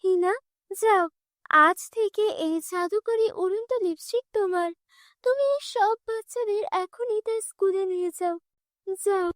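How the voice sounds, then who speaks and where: A gracious fairy from another world, played by a woman in a children's cartoon. The voice is very high and closely recorded, reassuring and sure in its commands. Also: a little slow, no room sound, clean studio sound. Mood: commanding.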